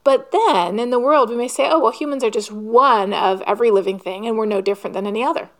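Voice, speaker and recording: A woman with a slightly higher voice delivers a sermon. She speaks earnestly and at a measured pace, often pausing to pose rhetorical questions. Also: fairly bright tone. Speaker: preacher.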